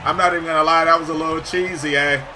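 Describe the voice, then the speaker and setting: A man giving excited, slangy live commentary over basketball video game play, shouting at big plays, his voice getting hoarse. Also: a little high, fast talker, slight background noise. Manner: slangy, says "yo" often. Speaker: gaming YouTuber.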